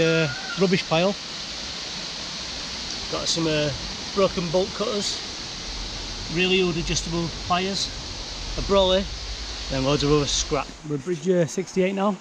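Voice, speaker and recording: A young man with a Northern English accent, excitedly commenting on his magnet fishing finds with many fillers, recorded outdoors by a canal on an action camera. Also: a little high, unhurried, pauses often. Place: outdoors by a canal under a bridge. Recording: outdoor camera audio with background noise.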